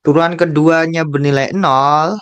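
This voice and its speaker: Slow, methodical Indonesian math tutor man. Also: a little high, fairly even intonation, fairly bright tone, clear, resonant tone. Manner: slow, methodical, repeats key terms, thinks aloud with fillers. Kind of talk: tutorial walkthrough of a calculus problem.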